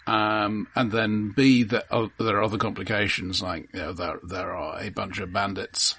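A man co-hosting a podcast, discussing film casually and analytically in halting, self-correcting phrases. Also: on the low side, steady tone, fairly bright tone, breathy edge.

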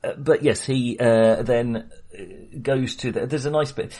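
Husky-voiced man chatting about a film. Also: mid-range voice, fairly even intonation, unhurried, mellow. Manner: rambling, restarts often, laughs while talking. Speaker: podcast co-host. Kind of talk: casual film discussion.

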